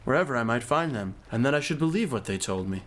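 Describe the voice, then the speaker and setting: An actor playing a naive, impulsive young knight in an audio drama, blunt and guileless. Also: male voice, mid-range voice, animated, quick pace, fairly bright tone, natural voice. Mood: eager, earnest. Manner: blunt, hot-headed, guileless.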